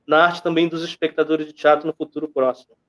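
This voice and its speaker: A man's voice: fairly high pitch, little pitch movement, fast talker, a bit bright. A Brazilian man speaking casually as a guest commentator on a programme. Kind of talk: casual conversational sign-off.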